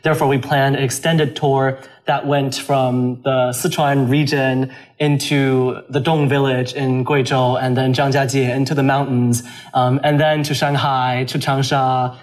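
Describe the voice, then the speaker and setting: A man's voice: medium pitch, flat delivery, unhurried, few pauses. Young man from China, musing aloud. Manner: thoughtful, with frequent fillers and restarts. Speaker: interview guest, a cappella singer. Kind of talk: casual interview talk.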